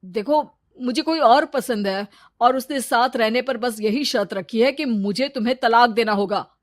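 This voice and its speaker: A woman's voice: fairly high pitch, normal speed, no echo, studio quality, natural voice. A woman voicing an arrogant, fickle husband in a cartoon story, brash and selfish. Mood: cold. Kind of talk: Hindi cartoon story dialogue.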